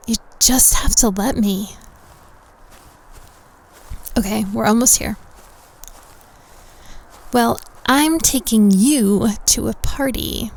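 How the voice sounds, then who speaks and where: Bubbly woman as a prep school teen, high unhurried voice, teasing and protective, audio roleplay. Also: creaky, a little mellow.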